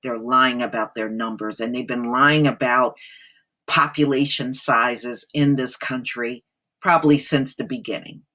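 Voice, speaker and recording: Husky, slow-talking woman with low voice. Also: fairly even intonation, pushed and bright. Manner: emphatic, repeats points for stress, addresses listeners directly. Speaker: online commentator and host. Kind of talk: reading an article aloud with off-the-cuff commentary.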